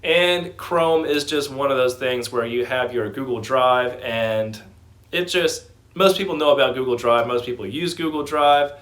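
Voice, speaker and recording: A man's voice: mid-range voice, unhurried, fairly bright tone. Enthusiastic man giving informal stock commentary. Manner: enthusiastic, emphatic. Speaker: host of a stock commentary channel.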